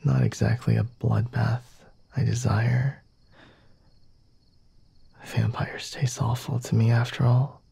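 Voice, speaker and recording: A man plays a refined, flirtatious vampire lord in an intimate ASMR roleplay. His voice is very breathy and slips often into whispers, with a creaky, gravelly edge. He speaks slowly and formally, teasing the listener in a mellow tone, recorded close and dry. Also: medium pitch, level tone, dry recording, heavily acted. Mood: smooth, teasing. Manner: formal, teasing, measured and deliberate. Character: flirty, refined male vampire master, coy and unhurried.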